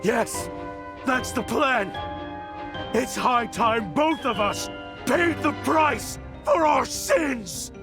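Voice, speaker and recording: A man's voice: lowish voice, slow pace, pauses often, over music. A man voicing a bitter, remorseful weapons researcher in a video game, grim and intense. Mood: desperate fury. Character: bitter, remorseful adult male weapons researcher seeking revenge.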